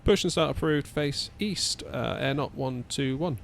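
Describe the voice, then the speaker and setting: A man's voice: mid-range voice, a little slow, vocal fry. A man giving casual flight simulator commentary, thinking aloud in a chatty way as he hosts. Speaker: flight simulator video host. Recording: faint background noise.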